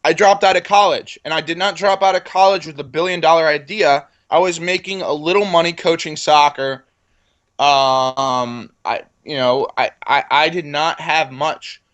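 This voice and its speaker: A man's voice: on the high side, fairly even intonation, normal speed, a bit bright, dull audio. A man in his twenties, a business guest in an interview, talking confidently and often repeating words as he starts a sentence. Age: 20s. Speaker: entrepreneur guest. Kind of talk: casual interview talk.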